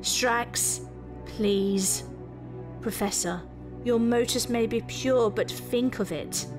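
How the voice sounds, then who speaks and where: A woman's voice: on the high side, music in the background. A woman voicing a stern, commanding female reptilian detective with an imperious manner, in an audio story. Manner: slow, imperious.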